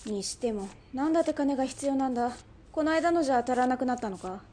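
A woman playing a brash, bossy underworld boss in a radio drama, with rough, masculine, commanding speech. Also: high-pitched, expressive, fast talker, mellow. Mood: businesslike.

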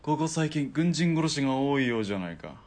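A man playing a wry, casual ex-soldier turned hired killer in a radio drama, with rough, bantering speech. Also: mid-range voice, big pitch swings, a little fast, a little mellow, a little hiss or hum, lightly acted. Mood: dry, wry.

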